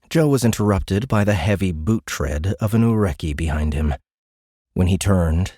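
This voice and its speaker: A man's voice: low-pitched, dark tone, no room sound, clean studio sound. A man reading scripted science fiction narration for an audiobook in a dramatic storytelling style.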